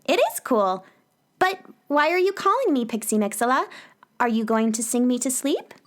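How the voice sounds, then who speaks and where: A woman playing a plucky, curious young space-adventurer girl, wide-eyed and expressive, in a children's audio drama. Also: fairly high pitch, moderate pace, close to an everyday voice. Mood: surprised, curious.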